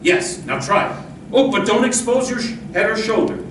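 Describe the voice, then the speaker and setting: Distant roomy stage recording, a man playing a heroic masked western lawman, high male voice, earnest, commanding, protective, radio drama. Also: moderate pace, fairly bright tone, slight background noise. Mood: firm, instructing. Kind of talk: old-time radio western acting.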